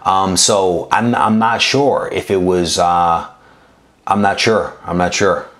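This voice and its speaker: Male streamer in his forties, deep voice, easygoing and rambling, reads chat aloud. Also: takes it slowly. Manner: relaxed, rambling, many fillers and restarts. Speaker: comic collecting channel host. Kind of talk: casual livestream chat reading viewer comments.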